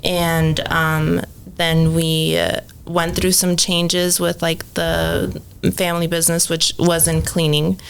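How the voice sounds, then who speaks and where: A woman's voice: on the low side, monotone, slow pace, vocal fry, hardly any pauses, clean tone. A woman in her thirties, from Mexico and raised in Phoenix, chatting casually as a podcast guest with lots of ums and likes. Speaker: podcast guest, cleaning business owner. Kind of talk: casual interview chat.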